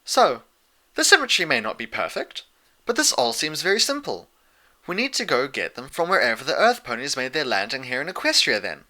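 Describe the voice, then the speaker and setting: A man voicing a refined, poised young female unicorn pony with deliberate delivery in a story reading. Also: on the high side, big pitch swings, bright, forceful tone, voice pushed up, exaggerated voice.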